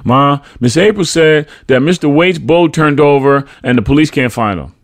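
A man's voice: fairly high pitch, moderate pace, dry recording, clean studio sound, pitched above the performer's own voice, lightly acted. A man voicing a polite, innocent nine-year-old boy in a narrated story. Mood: matter-of-fact.

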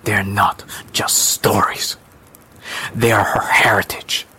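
A man voicing a soft-spoken, resolute servant woman in a slow, breathy whisper, with quiet menace. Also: heavily acted, low-quality recording. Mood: low, resolute. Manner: slow, gentle, low-toned.

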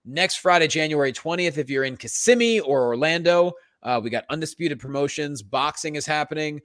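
A man's voice: on the high side, animated, fairly quick, fairly bright tone, no room sound, studio quality. A man co-hosting a comedy podcast with slangy, showman-like casual banter and plugs. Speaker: event announcer and performer.

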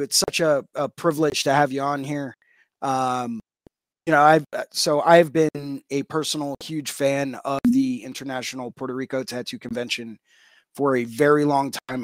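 A man's voice: mid-range voice, no echo. A man hosting an interview show, reading sponsor thanks and welcoming a guest in an upbeat way with frequent ums. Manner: upbeat, peppered with ums and uhs. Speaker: podcast host.

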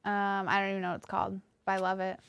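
A soft-spoken woman co-hosting a casual chat for a coffee company. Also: medium pitch, completely flat delivery, rapid-fire, vocal fry, smooth. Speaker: coffee company staff co-host.

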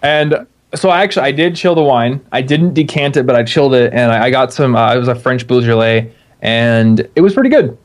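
A man's voice: mid-range voice, brisk. Upbeat laughing male podcast host. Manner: upbeat, laughs often, self-mocking. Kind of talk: casual chat.

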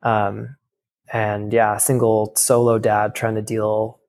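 A young man telling a candid personal story in casual talk, halting, with many fillers and restarts. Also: on the low side, completely flat delivery, a little mellow. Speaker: guest.

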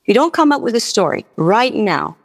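A woman from Mauritius, a native speaker of French and Creole, telling personal stories as a guest musician in a halting, conversational way, often repeating her words. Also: mid-range voice, very animated, vocal fry. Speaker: guest musician and singer-songwriter. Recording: long reverberant hall sound.

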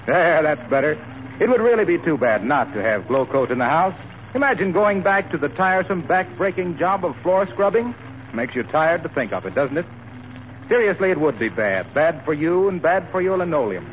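A man's voice: on the high side, a little hiss or hum. An American man announcing in a scripted 1940s radio style, on a muffled old broadcast recording.

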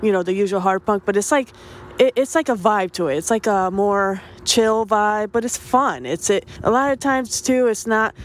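A woman's voice: medium pitch, normal speed. A woman hosting a casual music podcast, chatty and enthusiastic, with a congested, stuffy voice from a cold. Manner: chatty, enthusiastic, frequent fillers and restarts. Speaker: podcast host.

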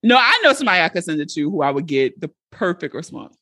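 A woman in her thirties hosts a podcast, talking frankly and expressively. Her pitch swings widely through her sentences, and she sounds close and dry on the mic. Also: no room sound. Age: 30s. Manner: expressive, frank and quick to laugh. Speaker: podcast host. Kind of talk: casual chat.

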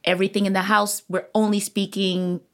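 A woman in her forties hosting a casual conversation, enthusiastic and animated as she tells stories. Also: medium pitch, a little fast. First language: English. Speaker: podcast host.

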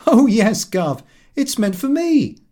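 A man's voice: very high-pitched, sing-song, slow, warm tone, pitched above the performer's own voice, heavily acted. A man reading an audiobook, voicing a brash, cheeky, cheerful young man with a scornful, slangy manner. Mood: gleeful.

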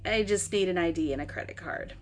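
A woman voicing an annoyed, uninterested man who works a motel desk, in a flat businesslike manner. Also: fairly low pitch, fairly quick, faint music behind, lightly acted. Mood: bored, annoyed.

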